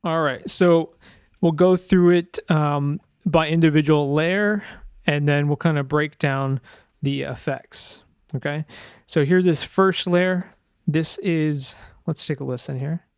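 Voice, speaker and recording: A man's voice: on the high side, takes it slowly, clear, resonant tone, dry recording, studio quality. A man talking casually through a screen-share tutorial as a sound designer, informal and loose, thinking aloud as he goes.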